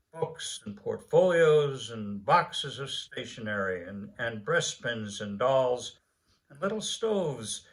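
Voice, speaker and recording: An older man in his seventies reading a story aloud in a halting manner, pausing between phrases. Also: on the high side, gravelly, poor audio. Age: older, about 70s. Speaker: story reader. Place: indoors, book-lined room at a table.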